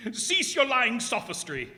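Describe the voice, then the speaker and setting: Guy doing cursed vengeful sea captain, old radio drama, slow n grandiose, really forceful, echoey hall. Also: very high-pitched, full character voice. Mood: bitter, scornful. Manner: grandiose, archaic, declamatory. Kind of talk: old-time radio melodrama. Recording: echoey hall stage performance.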